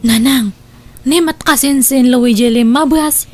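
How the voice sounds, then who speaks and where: A woman's voice: sits high, measured pace, music in the background. A woman acting as an inquisitive, chatty young confidante in an audio drama, speaking with an Ilocano accent. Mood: gossipy.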